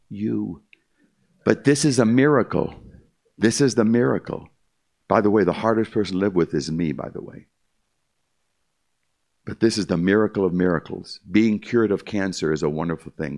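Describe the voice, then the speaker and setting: Older guy, really deep gravelly voice, preaching his testimony, emphatic and repetitive. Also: moderate pace, a little mellow. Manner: emphatic, repetitive, addresses listeners as "my friend". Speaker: concert pianist giving testimony. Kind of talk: evangelistic testimony speech to a live audience.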